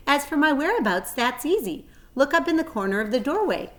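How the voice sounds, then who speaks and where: A clever lady spider from a storybook, played by a woman reader, thin and pleasant in voice, raised in pitch. She speaks in a genteel, articulate way, kindly yet matter-of-fact. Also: big pitch swings, brisk, fairly bright tone. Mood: friendly.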